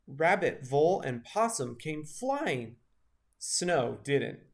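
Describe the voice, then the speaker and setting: A man reads a children's picture book aloud as narrator. His fairly high voice moves up and down a lot, and he keeps an unhurried, expressive pace. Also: vocal fry. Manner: expressive storytelling. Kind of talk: scripted children's story read-aloud.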